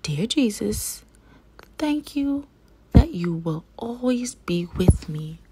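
A woman's voice: fairly high pitch, big pitch swings, slow, very noisy. A woman reading a children's religious picture book aloud, phrasing simply and carefully for young listeners. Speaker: read-aloud storyteller for children.

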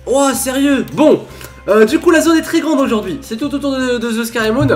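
Gaming host, male, high voice, a bit blocked up, chatty and playful. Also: fairly quick, faint music behind. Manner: chatty, playful, bursts into laughing shouts. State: stuffy with a cold. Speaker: gaming YouTuber. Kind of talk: excited Minecraft commentary.

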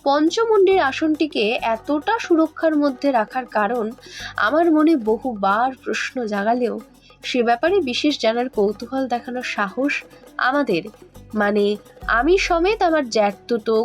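Lady playing a curious spooked young woman, highish voice, earnest first-person horror storytelling, soft music behind. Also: expressive, unhurried. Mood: uneasy narration.

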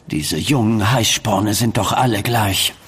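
An actor playing a greedy, impatient elder merchant banker in an audio drama, condescending and formal. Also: male voice, lowish voice, unhurried, fairly bright tone, a little hiss or hum. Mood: dismissive, confident. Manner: condescending, formal archaic diction. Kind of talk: German audio drama acting.

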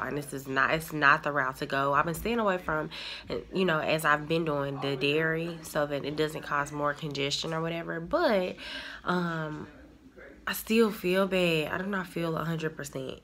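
A woman's voice: sits low, a bit bright. A woman, a little congested from a cold, chatting casually to viewers on a phone held in her dining room. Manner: chatty, addresses viewers as y'all. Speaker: vlogger. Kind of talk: casual chat to camera. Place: dining room at home, indoors. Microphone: handheld phone at arm's length.

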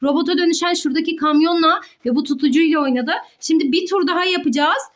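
A woman's voice: extremely high pitch, fast talker, a bit bright. A woman, a mother playfully narrating a children's game in an encouraging, affectionate manner as she talks to her child and young viewers. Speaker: mother hosting a children's play video.

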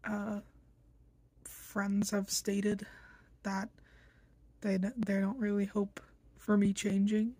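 Young woman, slow flat delivery, curt and annoyed, casual to-camera vlog in a dry small room. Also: mid-range voice, husky, no echo. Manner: blunt, irritable, slow and halting with many fillers. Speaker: vlogger introducing themselves. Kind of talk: casual self-introduction to camera. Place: small bedroom indoors.

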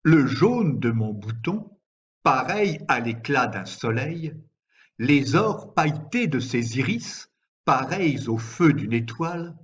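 A man reciting poetry in a measured, deliberate way, with frequent pauses between phrases. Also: medium pitch, husky. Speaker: poet reading own work. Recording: slightly dull, lo-fi recording.

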